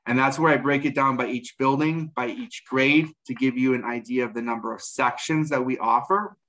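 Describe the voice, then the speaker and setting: A man in his forties reporting figures to a school board over a video call, measured and full of ums and uhs, from a room at home. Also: medium pitch, steady tone, fairly bright tone, low-quality recording. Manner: measured, frequent um and uh fillers. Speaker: school district administrator. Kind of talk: meeting report. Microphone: video call webcam.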